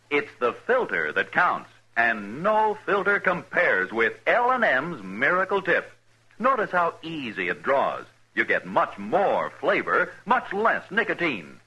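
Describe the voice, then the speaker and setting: A man's voice: a little high, sharp tone, breathy edge, poor audio. A man announcing a 1950s radio commercial with an emphatic salesman's delivery. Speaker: cigarette ad announcer.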